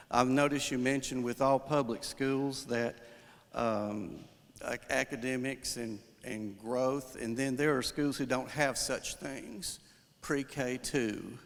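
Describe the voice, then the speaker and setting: A man with a big, booming voice speaks into a handheld microphone at a public town hall. His pitch is ordinary. He asks a run of questions with small hesitations and restarts, and the hall's long reverb hangs behind him. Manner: loud, booming voice. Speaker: member of the public giving comment. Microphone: handheld microphone passed through the audience. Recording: echoey hall from off-mic.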